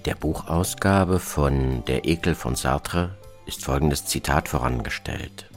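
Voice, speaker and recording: A man's voice: rumbling low, takes it slowly. A man presenting in a scripted documentary narration style, with a dry, sardonic manner. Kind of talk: scripted documentary narration about radio plays. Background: music.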